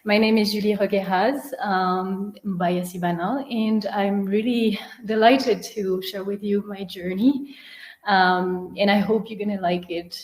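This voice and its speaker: A woman's voice: medium pitch, fairly even intonation, unhurried. French-accented woman, 30s, guest speaker recounting her journey; earnest, reverberant room. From France. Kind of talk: webinar presentation.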